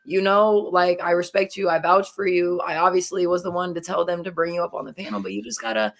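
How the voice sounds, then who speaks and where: A hoarse woman co-hosting a podcast, coaxing and moderating the guests. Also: on the low side, fairly quick. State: hoarse, losing her voice. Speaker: co-host. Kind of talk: casual podcast chat.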